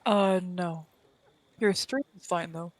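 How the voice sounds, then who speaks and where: A man's voice: sits high, slow pace. A man chatting casually as a guest on a game stream over a voice call. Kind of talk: casual chat.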